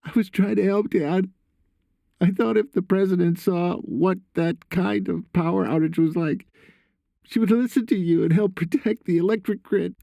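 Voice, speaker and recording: Audiobook character: frightened, tearful son, played by a man. High, whiny, trembling, pleading delivery. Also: steady tone, normal speed, gravelly, mellow, dry recording. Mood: earnest. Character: frightened tearful teenage son confessing on the phone.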